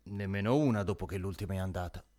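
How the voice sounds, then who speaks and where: A man acting in an absurdist radio play as a vague, plodding newspaper seller, halting, repetitive and deadpan. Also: low-pitched, natural voice. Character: plodding, vague male newspaper seller making small talk. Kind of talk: absurdist radio drama dialogue.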